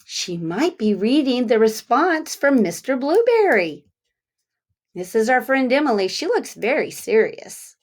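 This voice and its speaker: Female kindergarten teacher, read-aloud style. Very unhurried, lively swooping intonation, deliberate child-directed delivery with questions. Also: fairly high pitch. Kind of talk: interactive picture-book read-aloud with questions.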